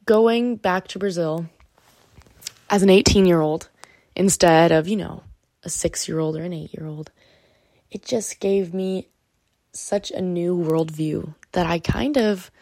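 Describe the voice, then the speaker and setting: A young woman tells her story in a casual, hesitant way, stopping often for fillers. Her voice is low and gravelly, recorded close and dry. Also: lively intonation, slow, pauses often, a little mellow, dry recording. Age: young adult. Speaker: guest. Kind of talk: telling a personal story.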